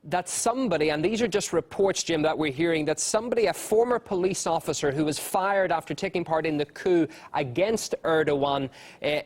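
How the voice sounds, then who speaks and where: A male news anchor interviewing a guest live, asking pointed questions in a brisk, conversational way. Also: on the high side.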